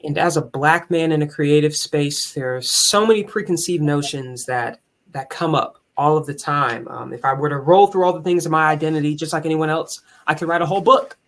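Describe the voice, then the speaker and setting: A man with a higher tenor voice, speaking as a musician and conductor in an earnest, candid, reflective way in a conversational interview. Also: fairly quick, a bit bright.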